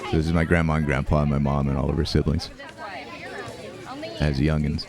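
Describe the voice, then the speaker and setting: A man hosting a show, talking casually and reflectively over home movies, with fillers, restarts and soft laughs. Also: a bass voice, level tone, brisk, gravelly, crowd noise. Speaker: host.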